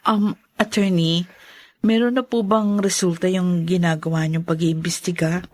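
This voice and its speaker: A woman's voice: lowish voice, fairly even intonation, a little slow, lightly acted. An actress playing a polite but determined grieving young woman in a radio drama. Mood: polite, earnest. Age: young adult.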